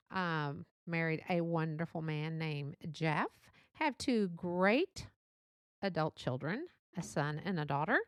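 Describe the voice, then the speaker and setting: A woman's voice: medium pitch, fairly bright tone, dry recording, clean studio sound. A middle-aged woman from Texas with a light Texan accent, hosting her own podcast in an upbeat, chatty way with many fillers and restarts. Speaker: podcast host. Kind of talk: solo podcast introduction.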